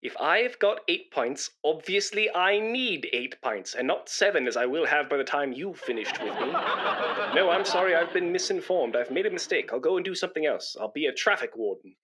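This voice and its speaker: A man's voice: on the high side, expressive, moderate pace, background noise, close to an everyday voice. An actor playing a pompous, self-important man in a British radio comedy, blustering and indignant, with a matey know-all manner.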